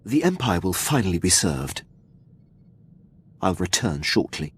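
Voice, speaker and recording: An actor playing a formal, dutiful imperial military captain in a video game, clipped and deferential, with a gravelly voice. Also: male voice, deep voice, big pitch swings, measured pace, a little hiss or hum. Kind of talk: video game dialogue acting.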